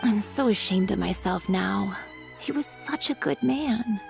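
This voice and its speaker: A woman's voice: medium pitch, measured pace, gravelly, over music. A woman playing a remorseful townswoman in a fantasy video game. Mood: ashamed.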